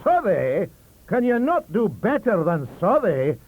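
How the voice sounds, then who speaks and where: Shouting, high-pitched indignant Scottish professor. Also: male voice, very animated, unhurried, phone-quality sound, heavily acted. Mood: mocking, combative. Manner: bellowing, declamatory. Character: shouting, indignant Scottish male professors and librarian, combative, scornful.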